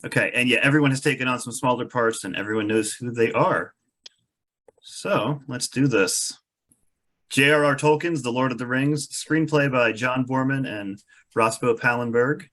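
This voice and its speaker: Man, middle pitch, scripted narration, measured storytelling, stage directions. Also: fairly bright tone. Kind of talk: scripted narration at a table read.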